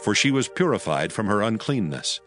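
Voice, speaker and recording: A man narrates scripture in a measured, solemn way with a fairly low voice. A clear music bed runs beneath his reading throughout. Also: very animated, fairly bright tone.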